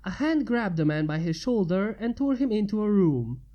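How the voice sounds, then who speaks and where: A woman's voice: mid-range voice, big pitch swings, normal speed, highs cut off. A woman narrating fan fiction as a story reader.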